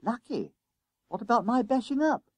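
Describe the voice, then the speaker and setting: A man voicing an anxious, earnest, excitable schoolboy who stammers and pleads in an audiobook story. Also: very high-pitched, moderate pace, breathy edge, dry recording, voice pushed up, exaggerated voice. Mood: confiding, worried.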